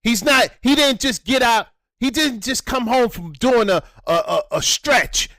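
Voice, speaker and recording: A man speaking with a high-pitched voice delivers reaction commentary. He talks at a deliberate, slow pace, lecturing and repeating his points for emphasis. The sound is dry and close. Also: animated, sharp tone, dry recording. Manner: preachy, repetitive, emphatic.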